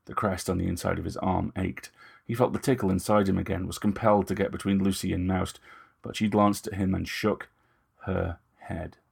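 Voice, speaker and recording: A man's voice: low voice, fairly even intonation, normal speed, creaky, a little mellow, slightly rough. A man reading scripted dramatic fiction as narrator.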